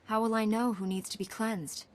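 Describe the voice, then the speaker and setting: A woman's voice: a little high, steady tone, normal speed, a little mellow, natural voice. A woman playing a resolute young warrior hero in a video game, speaking in an earnest, direct, composed way. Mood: questioning. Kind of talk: video game cutscene acting. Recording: music under the voice.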